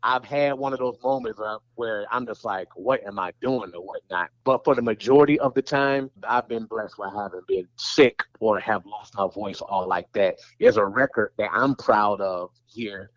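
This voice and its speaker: Animated man telling arena stories. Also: lowish voice, normal speed, hardly any pauses, dry recording, dull audio. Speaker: NBA arena host, podcast guest. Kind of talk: casual interview storytelling.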